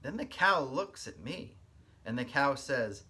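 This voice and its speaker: A man working as a kindergarten teacher, giving an expressive read-aloud and explaining things simply to young listeners. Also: medium pitch, a little slow, husky.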